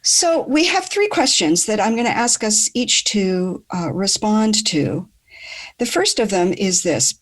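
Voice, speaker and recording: A woman in her sixties with a slightly low-pitched voice. She speaks as a podcast host guiding a group discussion. Her delivery is measured and articulate, with an academic turn of phrase.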